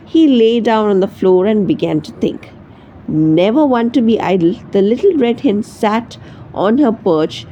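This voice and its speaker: A woman narrates a children's storybook with very lively, swooping intonation. Her tone is soft and mellow at a medium pitch, with faint noise in the background. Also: rough recording. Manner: expressive read-aloud storytelling. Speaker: storybook reader.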